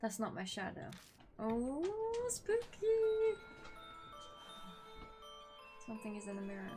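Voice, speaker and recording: A woman's voice: on the high side, sing-song, normal speed, dark tone, faint music behind. A young woman playing a horror game at home, chatting casually, swearing now and then and reading the story text aloud. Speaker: gaming video creator. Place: at home with her dog.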